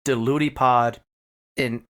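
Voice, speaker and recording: A man's voice: medium pitch, fairly even intonation, takes it slowly, fairly bright tone. A man co-hosting a podcast, bantering casually and joking with some swearing. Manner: profane, joking. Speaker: podcast co-host.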